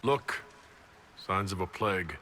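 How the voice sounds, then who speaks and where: An actor playing a composed, dryly witty elf leader in a video game, with a measured, questioning delivery. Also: male voice, low voice, lively intonation, sharp tone, slight background noise, heavily acted. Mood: dry, curious.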